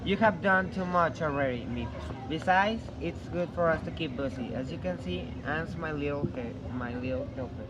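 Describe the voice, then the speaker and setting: A courteous, steady father played by a man in a stage drama. His kindly voice sits a little high, over clear background noise. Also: normal speed, close to an everyday voice. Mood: welcoming, courteous. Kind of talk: amateur stage dramatic reading.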